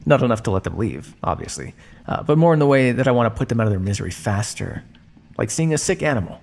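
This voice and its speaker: Male actor as vampire scientist captor for audio roleplay: creaky, swooping delivery, smug and theatrical, some hiss on the recording. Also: mid-range voice, big pitch swings, darkish tone. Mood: matter-of-fact, cool. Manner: smug, measured, teasing, theatrical. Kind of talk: ASMR roleplay monologue addressed to listener.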